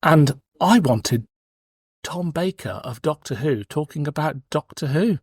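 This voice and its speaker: A man's voice: fairly high pitch, animated, measured pace, a little mellow, breathy edge, dry recording, clean studio sound. A man in his forties with a British accent, hosting a podcast in a casual, cheeky, frank chat. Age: 40s. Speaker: podcast host.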